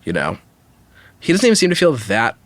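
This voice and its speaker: A man's voice: mid-range voice, huge pitch swings, a little fast, a bit bright, no echo. Emphatic man in casual podcast chat, dry sound. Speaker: podcast co-host. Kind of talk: casual opinionated discussion.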